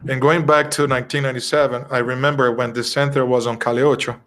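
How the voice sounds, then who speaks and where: A middle-aged man with a Brazilian Portuguese accent talks through spiritist teachings like an informal class. He speaks earnestly and conversationally at a moderate pitch, pausing now and then to find the right English word. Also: level tone, a bit bright. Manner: earnest, conversational, often pausing to search for words. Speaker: spiritist study group speaker. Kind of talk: informal doctrinal class talk.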